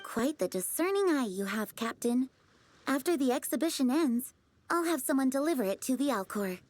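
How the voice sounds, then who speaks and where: A woman's voice: high voice, animated, normal speed, darkish tone, slight background noise. An actress voicing a polite, poised woman antiques dealer in a video game, courteous and businesslike. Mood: gracious. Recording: background music under dialogue.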